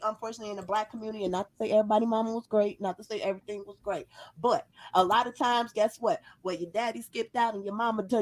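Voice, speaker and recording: Opinionated American woman, casual podcast talk. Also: a little high. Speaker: podcast co-host. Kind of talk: casual chat.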